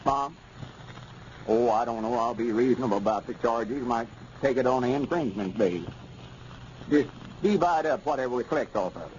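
An actor playing an eager, self-important country storekeeper turned amateur lawyer in 1930s radio comedy, with a rural Southern American accent and folksy grammar. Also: male voice, mid-range voice, fairly quick, darkish tone, close to an everyday voice. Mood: eager, officious. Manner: folksy, pompous, bad grammar. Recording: muffled, noisy 1930s radio recording.

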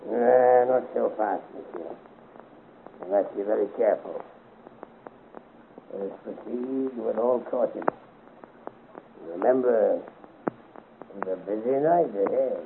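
A man's voice: lowish voice, takes it slowly, vocal fry, pauses often. An actor playing an oily, scheming henchman to a lord, obsequious and theatrical, in a 1950s radio serial. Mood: smooth, cautious. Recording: scratchy, muffled 1950s radio recording.

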